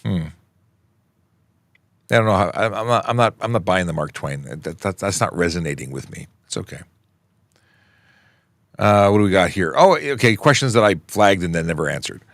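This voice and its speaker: A middle-aged male traffic cop from Canada hosts a relaxed livestream Q&A, answering viewer questions in a chatty, plain way. His voice sits very low with a gravelly edge. The close mic gives a dry, upfront sound. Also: moderate pace, long pauses, dry recording. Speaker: traffic police officer hosting a livestream Q&A. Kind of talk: casual livestream Q&A. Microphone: close studio microphone.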